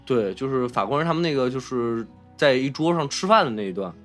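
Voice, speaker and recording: A man with a mid-range voice chats as a podcast co-host. He recounts things haltingly in short, broken phrases, with faint music playing behind him. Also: medium pitch, animated. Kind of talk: casual film discussion.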